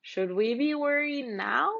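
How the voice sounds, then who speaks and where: A woman's voice: high voice, measured pace, creaky, fairly bright tone, lo-fi sound, full character voice. A woman voicing a worried, whiny, innocent little boy in a storybook.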